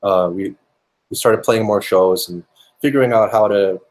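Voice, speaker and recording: A young man with a fairly low voice, raised speaking both Finnish and English, talks through his answers in an interview. He pauses often and restarts his sentences as he thinks aloud. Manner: thoughtful, with many fillers and restarts. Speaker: musician guest.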